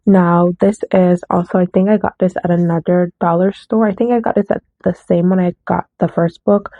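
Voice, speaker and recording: A woman's voice: lowish voice, fairly even intonation, moderate pace, dry recording. Woman rambling about books, kinda nasal w a cold, tinny dry phone audio. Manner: rambling, often correcting herself. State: coming down with a cold, slightly nasal. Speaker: book vlogger. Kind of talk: casual book haul chat.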